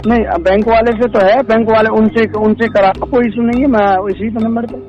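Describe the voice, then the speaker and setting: A high-voiced man makes an informal sales pitch on a recorded phone call. He is insistent, repeats himself and keeps saying sir, with faint music underneath. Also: steady tone, brisk. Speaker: caller posing as an army seller.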